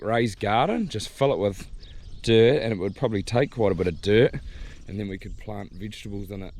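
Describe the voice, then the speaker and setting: A man, hoarse from a sore throat, chatting casually to camera while walking a farm paddock. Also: fairly low pitch, slight background noise. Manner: relaxed, rambling, thinking aloud. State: hoarse, losing his voice. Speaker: farmer vlogger.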